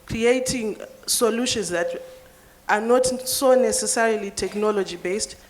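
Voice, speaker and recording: A woman's voice: a little high, unhurried. A woman from Zimbabwe in the audience, making an earnest, critical point during a panel Q&A. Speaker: audience member asking a question.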